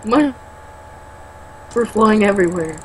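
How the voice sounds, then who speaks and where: Young woman, mid-pitched, exasperated and sarcastic book reader; casual, sweary, faint noise on a homemade recording. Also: lively intonation, slow pace, rough recording. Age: young adult. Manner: sarcastic, exasperated, foul-mouthed. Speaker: co-host reading a book aloud with mocking commentary. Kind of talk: casual read-aloud with exasperated commentary.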